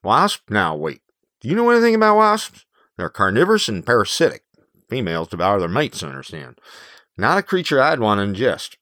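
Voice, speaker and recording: A protective corporate counselor in a campy audio drama. His low voice swings widely in pitch, wry and cautioning, in a very dry recording. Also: huge pitch swings, fairly quick, fairly bright tone, dry recording. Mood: concerned, wry.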